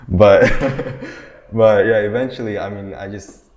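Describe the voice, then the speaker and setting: A man's voice: lowish voice, steady tone, takes it slowly, a little mellow, muffled recording. A young man raised in Japan whose first language is Bengali, chatting casually in a laid-back way full of fillers. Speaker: discussion participant. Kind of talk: casual discussion. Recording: roomy echo, faint background hum.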